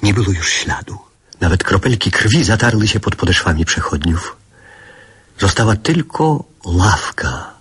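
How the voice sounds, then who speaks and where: A man's voice: low-pitched, expressive, a little slow, a bit bright, husky, exaggerated voice. An actor playing a nostalgic older man who narrates memories of his boyhood in a lyrical, reflective radio drama style.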